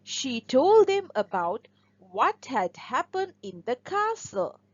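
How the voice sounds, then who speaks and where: A woman narrating a scripted fairy tale for English learners in a deliberate, expressive storybook manner. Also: high voice, no echo, lo-fi sound.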